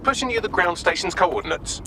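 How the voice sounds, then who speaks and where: An actor playing a dry, witty British AI assistant in a game, speaking in precise, wordy briefings with a sardonic manner. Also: male voice, high-pitched, animated, a little fast, noisy background. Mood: brisk, dry. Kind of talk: game voice acting, mission briefing.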